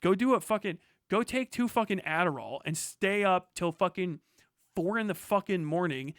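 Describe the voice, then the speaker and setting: An American man delivering an impassioned, profane livestream rant, forceful and emphatic, slipping into imagined dialogue as he goes. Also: high voice, expressive, normal speed, dry recording, studio quality. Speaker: streamer.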